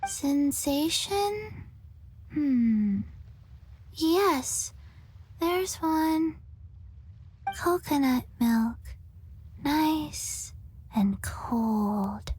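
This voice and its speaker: Very slow, deadpan undead little girl. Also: high-pitched, slight background noise, full character voice. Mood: blank. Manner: deadpan, halting, clipped phrases. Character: small undead zombie girl, blank, slow and docile. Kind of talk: video game character acting.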